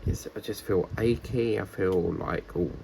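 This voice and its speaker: A man with a fairly low, gravelly voice talks in a rambling, casual way, full of fillers and restarts. His voice is croaky and stuffed up from a cold. A faint hiss sits behind him. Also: unhurried. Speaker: diamond painting vlogger. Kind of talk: casual chat while crafting.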